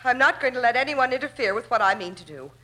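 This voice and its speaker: Bright-voiced, scheming woman from 1940s radio drama. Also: fairly high pitch, expressive, fast talker. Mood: grim resolve. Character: scheming, determined woman feigning grief, threatening at gunpoint.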